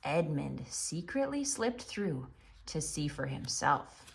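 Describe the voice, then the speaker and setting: A woman narrating a children's story theatrically, with expressive, dramatic emphasis. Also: deep voice, takes it slowly, gravelly, a little hiss or hum.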